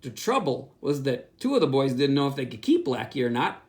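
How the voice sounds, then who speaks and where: A pastor reading a children's picture book aloud, with playful asides. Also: male voice, medium pitch, brisk. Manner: animated storytelling with asides.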